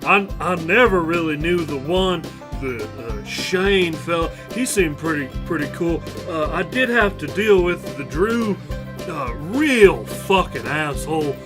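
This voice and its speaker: A man voicing a blunt, foul-mouthed snake rancher, rambling haltingly through an improvised comedy eulogy. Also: a little high, animated, music in the background. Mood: halting, dismissive. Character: gruff, foul-mouthed male rattlesnake rancher, rambling and bitter.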